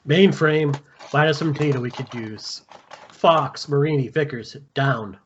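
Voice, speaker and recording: Casting: man playing commanding military team leader, slightly high male voice, muffled noisy home mic. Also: measured pace, natural voice. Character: brusque, commanding male special-forces squad leader. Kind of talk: amateur table read of a horror film script.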